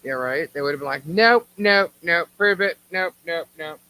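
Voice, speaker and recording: A man's voice: on the high side, moderate pace, pushed and bright. A man chatting casually as a co-host on a live stream. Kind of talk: casual chat. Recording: clear background noise.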